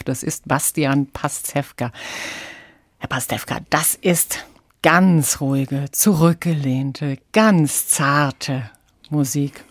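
A woman hosting a radio interview in a measured, conversational moderating style. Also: low voice, dry recording, clean studio sound. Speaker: radio host. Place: dry radio studio.

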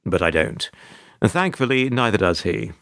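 A man narrating a radio play as a wry, put-upon storyteller, measured and sardonic. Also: on the low side, animated, gravelly, fairly bright tone. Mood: wry, dry. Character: wry, put-upon male narrator recalling awkward encounters.

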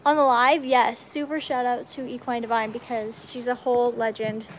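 A young American woman chatting casually on a livestream, excitable and slangy, talking into a handheld phone in a metal-walled horse barn. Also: fairly high pitch, moderate pace, slight background noise, low-quality recording. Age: young adult. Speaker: livestreaming vlogger. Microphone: phone at arm's length.

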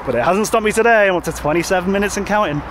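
A man's voice: high-pitched, racing. A man talking casually to a handheld phone as he walks outside, rambling with lots of fillers and restarts. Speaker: vlogger. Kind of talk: casual walking vlog. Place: outdoors, walking by a road with traffic. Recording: outdoor wind and traffic noise.

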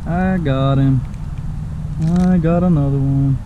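A man narrates his own fishing by a creek, muttering to himself and coaxing the fish along. His voice sits a little high for a man. It is picked up by a head-mounted action camera outdoors, with a clear layer of background noise. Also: fairly even intonation, drawn-out words, phone-quality sound. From Texas. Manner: talks to himself and the fish, frustrated exclamations. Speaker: fishing vlogger. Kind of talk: casual first-person fishing commentary.

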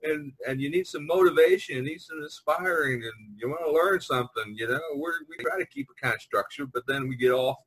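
A middle-aged man hosting a casual group chat over an online call, talking in a rambling, halting way and repeating himself. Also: on the high side, very animated, a bit bright, breathy edge, poor audio. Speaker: podcast host. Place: online video conference call.

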